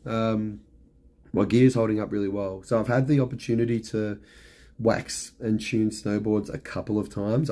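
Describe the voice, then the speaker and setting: A middle-aged man talks loosely on a live stream, his voice hoarse and worn as if he were losing it. The tone sits low and mellow, and he rambles from one point to the next, padding his sentences with ums and you-knows. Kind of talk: casual live stream chat.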